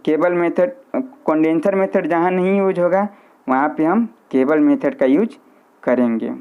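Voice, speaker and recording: A man's voice: fairly high pitch, measured pace, clean tone. A man giving an informal instructional lecture, repeating key points and mixing in English technical terms. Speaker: physiotherapy teacher. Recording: muffled, narrow lo-fi sound.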